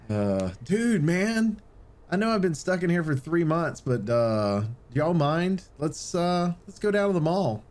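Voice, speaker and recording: A man's voice: a little high, darkish tone. A man co-hosting a podcast in casual, jokey banter, often sarcastically acting out imagined dialogue. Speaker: podcast co-host.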